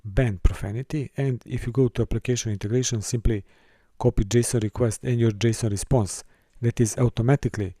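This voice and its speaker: A man from Serbia, teaching a course and walking through a software console, with careful, halting phrasing. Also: medium pitch, steady tone, no echo, clean studio sound. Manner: careful, halting delivery with small restarts and non-native phrasing. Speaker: course instructor. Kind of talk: screen-recorded software tutorial.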